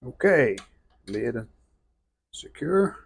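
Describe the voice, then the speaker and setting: A man's voice: mid-range voice, big pitch swings, extremely slow, gravelly, husky. A man talking casually through hands-on restoration work, in short, plain-spoken remarks as he goes. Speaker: vlogger restoring an antique radio. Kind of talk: casual hands-on workshop narration.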